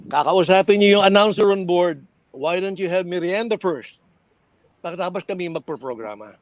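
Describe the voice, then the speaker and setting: A man's voice: sits high, lively intonation, fairly quick, no room sound. An older man with a Filipino accent reminiscing casually like a veteran radio personality, hesitating, repeating phrases and slipping between English and Tagalog.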